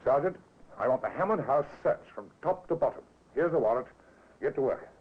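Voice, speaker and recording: A man acting a brisk, authoritative Victorian police inspector in a 1950s radio drama, questioning formally. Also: medium pitch, lively intonation, natural voice. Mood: commanding. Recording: thin, phone-like old radio recording, noisy.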